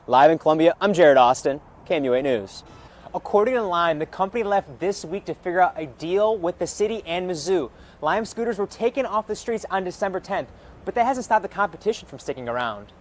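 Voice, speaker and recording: Higher-voiced man reporting the news. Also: expressive, brisk. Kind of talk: scripted broadcast news narration and live reports.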